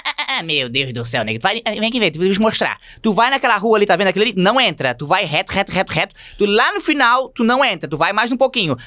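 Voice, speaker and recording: A man's voice: sharp tone, no echo, clean studio sound, full character voice. A man voicing a chatty local man from coastal Santa Catarina who gives fussy, repetitive directions, with an Itajaí accent. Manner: fussy, flustered, repeating words, high-pitched.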